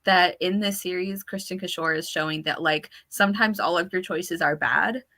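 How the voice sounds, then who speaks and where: A woman's voice: fairly low pitch, normal speed. A woman co-hosting a casual book discussion, talking informally and animatedly, often acting out quotes as she goes. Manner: animated, informal, quotes characters and thoughts in talk. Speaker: co-host of a book discussion show.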